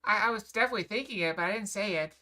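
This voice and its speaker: Bright-voiced chatty woman streamer. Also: mid-range voice, very fast. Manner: chatty and playful, reacting aloud. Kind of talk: casual livestream chat.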